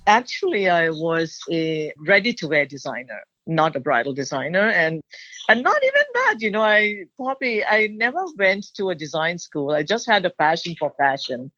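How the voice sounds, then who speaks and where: Woman, middle-aged or older, from Thailand, slightly low voice, expressive storyteller, dry lo-fi recording. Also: moderate pace, no echo. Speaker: guest, bridal designer. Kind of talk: personal storytelling in an interview. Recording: dull, lo-fi sound.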